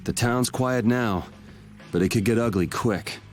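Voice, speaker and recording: A man's voice: mid-range voice, measured pace, faint music behind, close to an everyday voice. A man playing a laid-back young swordsman in a video game, speaking in a dry, matter-of-fact way. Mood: calm, wry.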